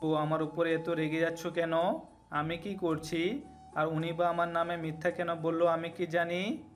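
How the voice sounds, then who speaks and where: A man's voice: fairly high pitch, little pitch movement, measured pace, natural voice. A man playing a bewildered young husband pleading plaintively with his wife in an audio story. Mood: hurt, confused. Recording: music under the voice.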